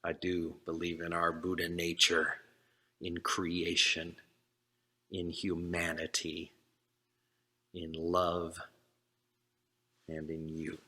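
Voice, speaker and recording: A man preaching a sermon as a minister, speaking earnestly in short, deliberate phrases. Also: low-pitched, monotone, sharp tone.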